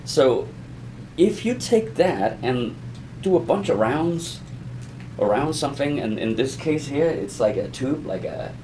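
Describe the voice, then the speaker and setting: Man explaining haltingly, faint background noise. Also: medium pitch, animated, mellow. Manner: halting, rambling explanations with self-repetition. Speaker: audio explainer teaching beginners. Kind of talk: informal conversational lesson.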